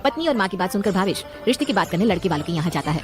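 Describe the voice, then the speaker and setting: A woman's voice: lowish voice, sing-song, very fast, music in the background. A woman narrating a scripted cartoon family story as its storyteller.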